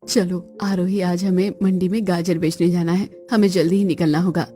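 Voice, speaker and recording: A woman voicing a hardworking elder daughter-in-law in an animated story, firm and practical. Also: on the low side, normal speed, close to an everyday voice. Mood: brisk.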